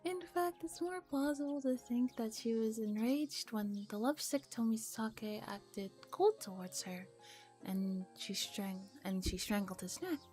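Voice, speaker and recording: A woman with a soft, breathy, often whispery voice reads a visual novel ASMR-style on stream with relaxed, playful asides, close to the mic. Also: on the high side, unhurried. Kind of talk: ASMR reading with casual commentary. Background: music.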